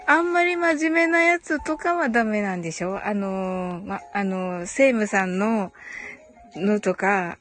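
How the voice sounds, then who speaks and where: A woman's voice: fairly high pitch, a bit bright. A woman hosting a casual live audio chat, answering listener comments in a giggly way, often repeating short phrases of agreement.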